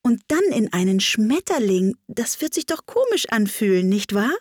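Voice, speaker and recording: Storybook young girl, played by a woman: courteous, bewildered, a touch indignant, lifted higher. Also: big pitch swings, normal speed, darkish tone, dry recording, studio quality. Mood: polite, earnest. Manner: earnest, polite, hesitant.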